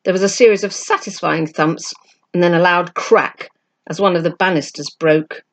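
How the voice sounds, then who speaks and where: A woman with a slightly low voice reads a children's story aloud. She narrates expressively, as a storyteller who also acts out the dialogue. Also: fairly even intonation, normal speed.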